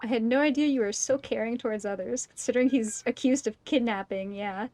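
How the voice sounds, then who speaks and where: A woman's voice: a little high, fairly quick, a little mellow. A woman streamer giving casual, amused commentary while she plays a video game.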